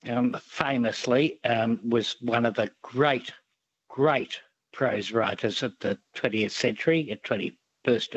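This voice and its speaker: A man's voice: medium pitch, dry recording, lo-fi sound. A man calling in to a radio show, hesitant and thoughtful, with many ums. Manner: halting, slow, full of ums, thoughtful. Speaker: caller. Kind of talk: phone-in chat.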